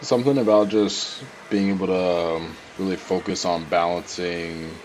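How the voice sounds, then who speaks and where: A young man with a very low voice talks over his training footage while getting over a cold. He sounds stuffy and congested and keeps clearing his throat, wandering from point to point with plenty of fillers. A faint hiss sits under the recording. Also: fairly even intonation, moderate pace, a little mellow, poor audio. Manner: rambling, many fillers and restarts. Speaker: powerlifter narrating his training. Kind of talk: casual voice-over narration.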